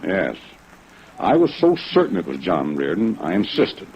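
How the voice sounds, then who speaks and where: A man's voice: sits low, wildly swooping pitch, a little slow, a little mellow, full character voice. An American man acting an earnest, courteous but uneasy businessman in a 1950s radio drama, formal and emphatic. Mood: certain, insistent. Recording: muffled vintage radio recording, faint noise.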